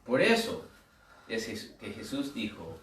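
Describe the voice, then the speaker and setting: A man's voice: lowish voice, very animated, slow, roomy sound, far from the mic, noisy background. A man from the United States with an American accent, preaching a sermon in a joking, conversational way with rhetorical questions and asides. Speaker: pastor.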